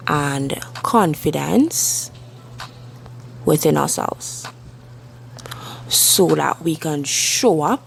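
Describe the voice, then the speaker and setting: A woman leading a guided meditation with spoken affirmations, soothing and deliberate, leaving long pauses between short phrases. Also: deep voice, creaky, a little hiss or hum, low-quality recording. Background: soft background music.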